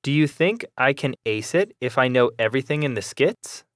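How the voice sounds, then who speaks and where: A man's voice: mid-range voice, expressive, normal speed, fairly bright tone, dry recording, studio quality, lightly acted. A man playing an eager, polite student who asks plain questions in a scripted classroom dialogue. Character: young adult male student, eager, polite.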